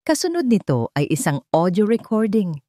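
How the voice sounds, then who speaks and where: A woman's voice: medium pitch, sing-song, normal speed, mellow, dry recording, studio quality. A woman narrator reading a scripted romance story in a dramatic storytelling style.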